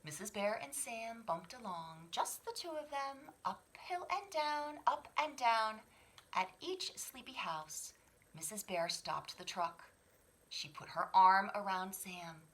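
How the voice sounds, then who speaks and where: Slow, very animated woman reading a storybook. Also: mid-range voice. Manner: dramatic, with hushed whispers and sudden swells. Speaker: children's library story reader. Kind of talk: expressive picture-book read-aloud.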